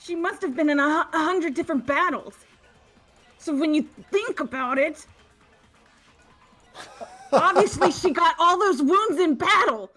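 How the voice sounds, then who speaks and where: Woman voicing a timid stammering teen girl for a fan game dub; high voice, slow delivery. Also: expressive, fairly bright tone, faint music behind, heavily acted. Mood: defensive. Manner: stammering, defensive. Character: nervous stuttering teenage schoolgirl.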